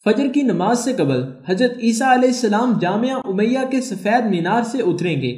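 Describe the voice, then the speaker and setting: Man, high voice, formal documentary narrator, measured even read, roomy echo. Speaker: channel narrator. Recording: echoey room.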